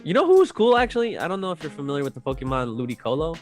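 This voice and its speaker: A man's voice: a little high, big pitch swings, racing. A man chatting casually in loose slang, swearing freely as he gives his opinions. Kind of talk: casual chat about video game movies. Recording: music playing behind the voice. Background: music.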